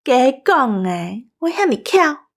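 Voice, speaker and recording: A woman with a Taiwanese accent chatting as a co-host in a scripted, teasing, lively way. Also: high-pitched, takes it slowly, creaky. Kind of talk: scripted casual chat.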